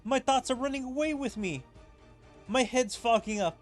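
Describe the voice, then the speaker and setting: A man voicing earnest, excitable young anime game characters in an emphatic, dramatic amateur read-aloud. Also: very high-pitched, moderate pace, faint music behind, voice pushed up. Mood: alarmed.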